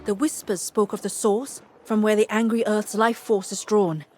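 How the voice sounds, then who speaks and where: An actress playing an earnest, scholarly fantasy adventurer in a video game, articulate and expressive. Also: female voice, mid-range voice, moderate pace, faint music behind, lightly acted. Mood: thoughtful, intent. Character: eager, scholarly female fantasy adventurer ally. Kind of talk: dramatic video game dialogue.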